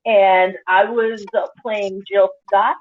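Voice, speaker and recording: A woman pole dance instructor telling stories on a panel, animated with quick asides, heard over a video call. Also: medium pitch. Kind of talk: casual panel discussion. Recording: tinny phone-like video call audio.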